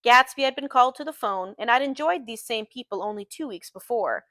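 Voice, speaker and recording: High-voiced woman reading a novel aloud. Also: lively intonation, brisk, sharp tone, dry recording, studio quality. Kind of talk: literary audiobook narration with acted dialogue.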